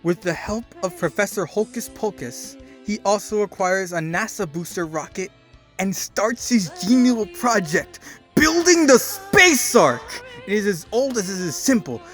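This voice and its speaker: A man's voice: sits high, animated, unhurried, fairly bright tone. A man giving a theatrical, emphatic dramatic reading of a written story. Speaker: narrator doing a dramatic reading. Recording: music behind the voice. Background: music.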